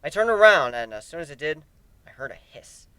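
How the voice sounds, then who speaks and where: A man's voice: on the high side, sing-song, brisk, pushed and bright, clean tone, no room sound. A man narrating a first-person story dramatically, as an amateur reader.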